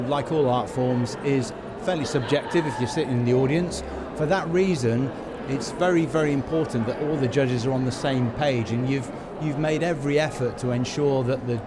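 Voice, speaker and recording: Man interviewing amid loud background noise. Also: mid-range voice, normal speed. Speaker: podcast interviewer. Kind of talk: casual backstage interview. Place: busy event venue, before the show. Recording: noisy busy venue ambience.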